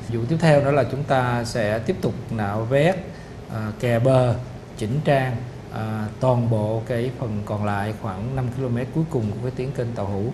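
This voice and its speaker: A middle-aged man explaining a city project in a formal interview, measured and full of "ờ" pauses. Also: mid-range voice, noisy background. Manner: measured, explanatory, frequent "ờ" fillers. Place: studio office set with photo wall. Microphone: lapel mic.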